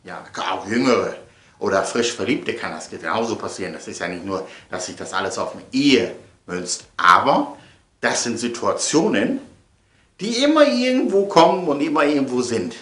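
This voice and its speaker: A man's voice: mid-range voice, very animated, moderate pace, a bit bright. A middle-aged man giving a casual, rambling monologue to viewers, emphatic and theatrical, often repeating his phrases. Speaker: vlogger and audio play producer. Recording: echoey room.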